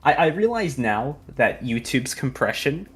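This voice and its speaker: Young adult, medium pitch, informal rambling stream chat, stop-and-start delivery, music in the background. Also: sing-song, unhurried, husky. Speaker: video editor on a fan animation project. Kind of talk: casual behind-the-scenes livestream chat. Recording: music behind the voice.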